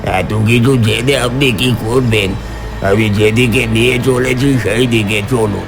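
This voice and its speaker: Man as a gravelly, grim undead cart driver. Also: mid-range voice, steady tone, unhurried, over music, close to an everyday voice. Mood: grave, evasive. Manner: grave, ominous. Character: grim, menacing undead bullock-cart driver, curt and secretive. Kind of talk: animated horror story acting.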